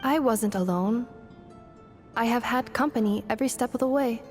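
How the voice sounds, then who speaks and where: Analytical female robot, voiced by a woman, fairly high voice, formal measured wording, earnest, music behind, game acting. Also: a little mellow, close to an everyday voice. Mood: reassuring.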